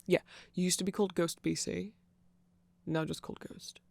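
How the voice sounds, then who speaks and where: Someone doing a nerdy vampire asmr ramble, creaky voice, hesitant and chatty, goes off on tangents, really dry recording. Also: medium pitch, flat delivery, normal speed, darkish tone, dry recording, natural voice. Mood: nerdy, rambling. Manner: hesitant, digressive, self-interrupting, conversational. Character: nerdy rambling vampire, eager fan explaining band lore. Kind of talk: ASMR roleplay, casual rambling explanation.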